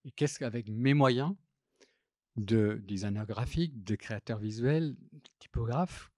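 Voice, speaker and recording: Halting, reflective man with a mellow voice. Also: mid-range voice, no room sound, clean studio sound. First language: not French. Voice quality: halting, many fillers and restarts, reflective. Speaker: visual artist and typographer. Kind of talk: public talk, telling an anecdote.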